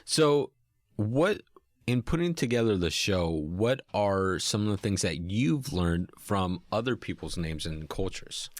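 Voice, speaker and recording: A man hosting a podcast interview, asking questions in a friendly, measured way. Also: sits low, animated, dry recording. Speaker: podcast host.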